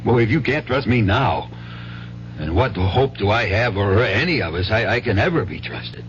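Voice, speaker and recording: An actor playing a desperate, weak-willed gambling father with an American accent and a halting, pleading delivery in a radio drama. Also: fairly low pitch, lively intonation, lightly acted. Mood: earnest, insistent. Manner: halting, pleading, repeats himself. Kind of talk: old-time radio drama acting. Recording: dull, noisy 1970s radio recording with hum.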